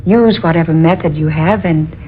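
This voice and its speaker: An actress playing a gentle young princess, daughter of the world's ruler, with pleading, melodramatic 1940s radio delivery. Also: female voice, deep voice, normal speed, slight background noise, lightly acted. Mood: grave. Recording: muffled old radio broadcast.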